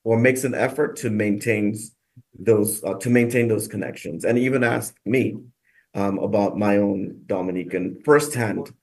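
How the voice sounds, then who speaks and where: A man with a fairly low voice answers in a conversational interview. He speaks haltingly, often pausing and repeating himself as he builds a thought. He is a guest from the United States who works at a college. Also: gravelly, darkish tone. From United States, South Florida. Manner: halting, many pauses and repeats. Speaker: college staff member, guest.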